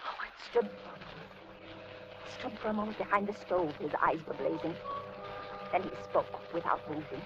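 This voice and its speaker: A woman's voice: lowish voice, normal speed, long pauses, lightly acted. A woman playing a frightened, breathless old woman with an American accent, stammering in 1950s radio suspense. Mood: haunted narrating. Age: older. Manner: fearful, breathless, stammering pleading. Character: frightened, pleading old woman held captive. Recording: noisy muffled vintage radio recording.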